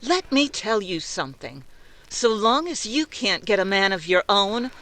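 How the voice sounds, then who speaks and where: A woman's voice: mid-range voice, very animated, measured pace, low-quality recording. A woman playing a jealous, bossy young fiancée in 1940s radio drama style, imperious and theatrical in manner. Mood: scornful. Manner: sharp, imperious, scornful.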